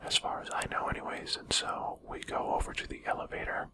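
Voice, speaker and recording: Someone whispering soft, unhurried gameplay commentary in a breathy, conversational ASMR style. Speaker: video game streamer and commentator.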